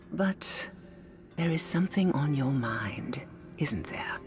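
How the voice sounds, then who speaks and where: A woman voicing a blind older songstress in a video game, who speaks softly, often in a hushed, intimate half-whisper, wise and gently teasing. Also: extremely low pitch, expressive, extremely slow, soft background music, full character voice. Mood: gentle. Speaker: game character.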